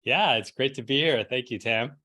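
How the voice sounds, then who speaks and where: A man's voice: mid-range voice, moderate pace, pushed and bright. A middle-aged man from New York City telling his own story as a podcast guest in a casual, conversational way. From New York City, United States. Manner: casual, frequent "like" and rhetorical "right?".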